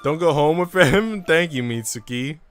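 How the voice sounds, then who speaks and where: A man's voice: fairly high pitch, animated, moderate pace, a bit bright. A man streaming casual game commentary, speaking in a slangy, incredulous way. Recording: background music.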